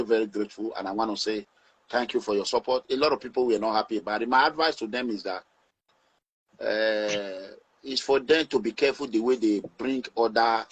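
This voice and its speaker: A Nigerian man speaking in a forceful, preaching way as he gives advice to his online audience. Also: fairly low pitch, breathy edge, rough recording.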